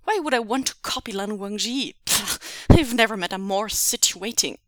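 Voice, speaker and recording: A woman reading aloud and voicing a spiteful, jealous man who turns from shouting to soft menace. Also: mid-range voice, normal speed, dry recording, clean studio sound, lightly acted. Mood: furious. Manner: yelling in fury, then soft and dangerous. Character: scheming man in blue, petty and vicious.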